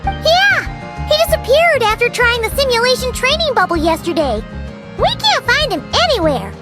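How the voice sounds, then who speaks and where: A woman's voice: very high voice, big pitch swings, moderate pace, over loud music. A woman voicing a tiny chirpy floating companion girl who talks about herself in the third person.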